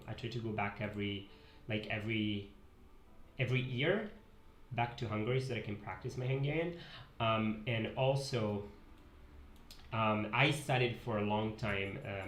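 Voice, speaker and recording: A man's voice: medium pitch, a bit bright. Italian man streaming, echoey room. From Italy. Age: 20s. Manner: rambling, self-correcting, reads chat aloud. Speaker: pixel art streamer. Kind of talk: casual live-stream chat while drawing. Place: home desk room. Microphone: headset.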